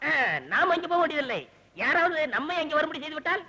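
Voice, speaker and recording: A meek, nervous scribe to the god of death in a film comedy. His voice is extremely high, with deferential, theatrical phrasing, on a dull, muffled soundtrack. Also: fast talker, sharp tone. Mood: apologetic. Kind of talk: mythological comedy film acting.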